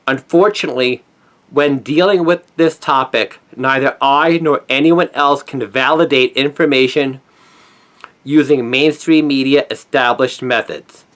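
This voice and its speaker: A middle-aged man delivering a scripted opinion monologue in an earnest, emphatic manner with deliberate phrasing. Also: medium pitch, a bit bright. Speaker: conspiracy commentator, former newspaper journalist.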